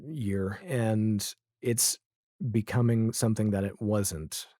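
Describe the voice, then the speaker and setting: Low-voiced, bright, animated man chatting. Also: slow, no room sound, clean studio sound. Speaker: podcast guest, audio drama creator. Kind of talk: casual podcast interview chat.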